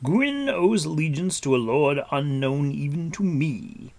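A man playing a noble, wise warrior lord who speaks formally with measured authority in a storybook reading. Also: fairly high pitch, lively intonation, a little mellow, voice pushed up. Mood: grave, musing. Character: noble, wise, commanding warrior lord, grave but gentle.

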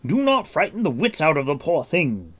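A man playing a noble, wise warrior lord who speaks formally with measured authority in a storybook reading. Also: a little high, very animated, vocal fry, voice pushed up, exaggerated voice. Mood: urgent. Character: noble, wise, commanding warrior lord, grave but gentle.

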